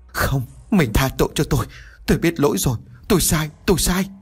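A stammering, frightened old lord with many wives pleads and begs, as a man puts him on in a spoken horror story. His raised voice is husky and wavers widely. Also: mid-range voice, expressive, fast, a little mellow. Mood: sobbing, begging.

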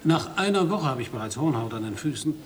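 An actor playing a radio correspondent in a radio play, narrating his report in a dry, measured, observant way and questioning a host. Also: mid-range voice, sing-song, slight background noise, close to an everyday voice. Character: male foreign radio correspondent, wry observer.

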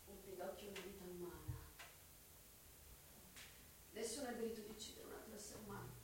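A woman's voice: medium pitch, fast talker, noisy background, close to an everyday voice. An actress playing a devout, pleading young woman in an Italian radio drama, impassioned and horrified. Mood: alarmed. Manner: impassioned, emotionally exposed. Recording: echoey, distant, music behind.

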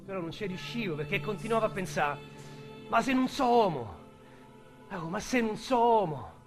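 A man's voice: high voice, big pitch swings, moderate pace, soft background music. An actor with a Roman accent playing a crude, rambling male ex-convict in a radio drama. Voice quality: slangy, rambling, vulgar storyteller. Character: crude talkative male ex-convict recalling prison.

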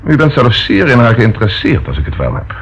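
A man's voice: on the low side, sing-song, brisk, breathy edge. A man acting a persistent, courteous police inspector in a Dutch radio play, questioning formally and politely. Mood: pressing. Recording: dull, faintly noisy old radio recording.

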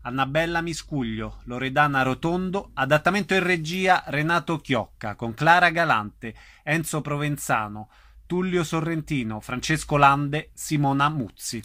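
Bright, dry male radio announcer. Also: on the high side, animated, moderate pace, no room sound. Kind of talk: scripted radio announcement, reading credits.

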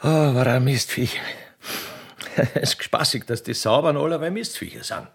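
Man playing a halting Austrian rustic railwayman, creaky-voiced. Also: medium pitch, natural voice. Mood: musing, chuckling. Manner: halting, muttering, trailing off with tag questions. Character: rambling, evasive rustic railway machinist, fiancé and small-time poacher. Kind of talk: satirical radio play performed solo.

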